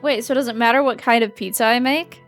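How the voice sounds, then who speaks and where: A woman's voice: a little high, steady tone, fast, fairly bright tone, faint music behind. A young woman streamer chatting playfully while playing a game. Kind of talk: casual game commentary.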